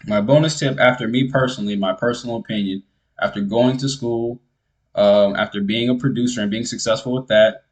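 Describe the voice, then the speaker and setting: A man's voice: medium pitch, fairly even intonation, brisk, low-quality recording. A man who makes music talks casually and earnestly to the viewer, giving advice and repeating his points to drive them home. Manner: earnest, repetitive, speaks straight to the viewer.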